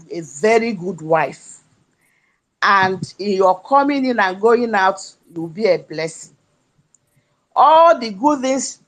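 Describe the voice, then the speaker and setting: A woman speaks slowly and earnestly while translating a friend's prayer. Her pace is unhurried and deliberate. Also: mid-range voice, rough recording. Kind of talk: casual chat, translating a prayer.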